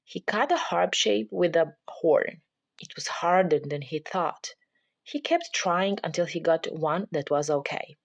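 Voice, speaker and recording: A woman's voice: lowish voice, unhurried, creaky. A woman reading a children's picture book aloud as a parent would, in scripted storybook narration.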